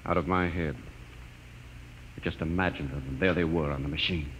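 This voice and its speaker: An actor playing an earnest, brainy space explorer, urgent and explanatory, in old-time radio drama style. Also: male voice, extremely low pitch, fairly even intonation, moderate pace, a bit bright, a little hiss or hum. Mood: grave, explanatory. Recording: dull old radio recording.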